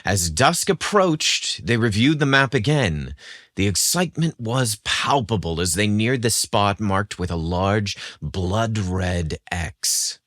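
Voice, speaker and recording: A man's voice: lowish voice, lively intonation, takes it slowly, a bit bright, no room sound, clean studio sound. A man narrating a scripted story with dramatic expression.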